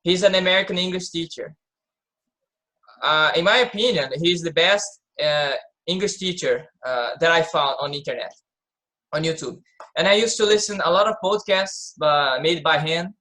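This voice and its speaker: Man, late twenties, bright tone, halting repetitions. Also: a little high. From Brazil. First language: Portuguese. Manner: halting, repeats words while searching. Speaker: participant. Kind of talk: casual group discussion.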